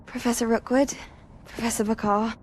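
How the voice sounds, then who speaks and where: A woman's voice: fairly high pitch, fairly even intonation, normal speed, darkish tone, slight background noise, natural voice. A young woman playing an earnest, curious teenage witch student in a video game, speaking politely and with wonder. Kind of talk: video game cutscene acting.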